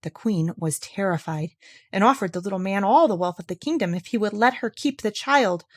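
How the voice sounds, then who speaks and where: A woman storyteller reading a fairy tale aloud in an expressive, theatrical and clearly enunciated way. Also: mid-range voice, a little fast.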